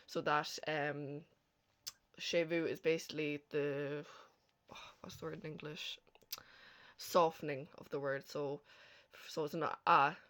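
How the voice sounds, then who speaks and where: A woman's voice: sits low, little pitch movement, measured pace, long pauses, a bit bright. A woman with a Cork Irish accent, teaching informally through a language lesson and explaining things in a chatty way, often checking that the listener follows. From Cork, Ireland. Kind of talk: informal slide lesson narration.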